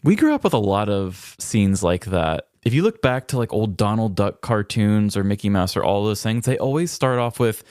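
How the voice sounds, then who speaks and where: A man's voice: lowish voice, very animated, moderate pace, few pauses, a little mellow, no room sound, studio quality. An American man from Missouri co-hosting a podcast, moving between casual chat and scripted storytelling. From small-town Missouri, US. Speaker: podcast co-host.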